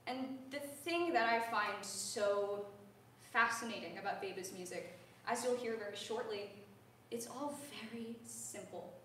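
A woman's voice: a little high, a little slow, fairly bright tone, recorded from a distance. An earnest young woman talks her audience through a lecture, conversational and dotted with ums. Her voice comes from well across a big recital hall, washed in heavy reverb over a faint hiss. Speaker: voice student giving a lecture recital. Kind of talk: spoken lecture. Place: large recital hall with a grand piano. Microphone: far-off room camera. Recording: echoey hall, heard from afar, faint hiss.

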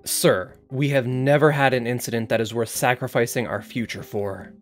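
A man's voice: mid-range voice, steady tone, moderate pace, soft background music, close to an everyday voice. An actor playing a defiant male site director in an audio drama, formal, insistent and sardonic as he argues his case. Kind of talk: scripted audio drama interview.

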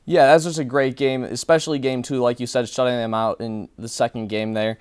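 A man's voice: medium pitch, flat delivery, a little fast, darkish tone, clean tone, no room sound. A young man working as a sports analyst in a small TV studio, breaking down games and players confidently and fluently. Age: young adult.

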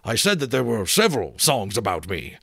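A man's voice: fairly low pitch, wildly swooping pitch, fairly quick, gravelly, fairly bright tone, no room sound, studio quality, heavily acted. A man voicing a vain, wistful outlaw captain. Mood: eager.

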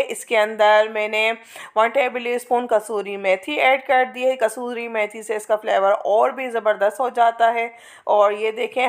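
A woman talking viewers through a home recipe in a chatty, instructive cooking vlog. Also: on the high side. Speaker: cooking vlogger. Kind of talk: casual cooking walkthrough. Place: home kitchen.

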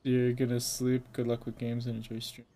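A woman giving casual live-stream game commentary in halting, muttered asides. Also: a bass voice, monotone, mellow. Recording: faint game sound behind the voice. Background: video game audio.

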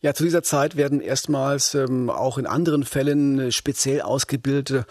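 A man's voice: medium pitch, fairly even intonation, normal speed, darkish tone, no echo, studio quality. A middle-aged man co-hosting a crime podcast, telling a case in a conversational way. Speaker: crime podcast co-host, reporter. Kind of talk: conversational true-crime storytelling.

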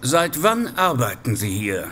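An actor playing a gruff, sarcastic male police chief inspector in an audio drama, speaking insistently. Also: mid-range voice, sing-song, a little slow, sharp tone, a little hiss or hum. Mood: confrontational, sarcastic. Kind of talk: German audio drama acting.

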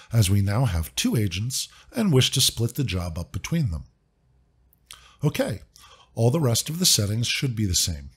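A man narrating a scripted software walkthrough in a clear, upbeat, step-by-step instructive way. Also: mid-range voice. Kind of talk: scripted screen-recording walkthrough.

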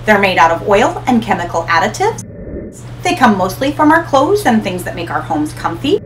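Lively upbeat woman explaining ocean science. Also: medium pitch, moderate pace, faint music behind, low-quality recording. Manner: upbeat, expressive, gestures while explaining. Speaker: ocean education channel host. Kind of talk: scripted educational talk to camera. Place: small room in front of a hanging tapestry.

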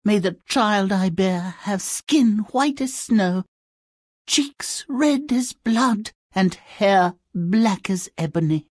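A woman voicing a gentle, melodramatic fairy-tale queen in a video game, with a breathy voice. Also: medium pitch, expressive, slow, no echo, clean studio sound. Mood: tender, straining. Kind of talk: video game character acting.

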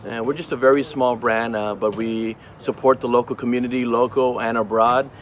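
A man's voice: mid-range voice, fairly even intonation, normal speed, muffled recording, a little hiss or hum. A man in his forties from Hawaii, co-owner of a small brand, interviewed outdoors on a red carpet with a handheld mic, talking in an upbeat, rambling way full of fillers and restarts.

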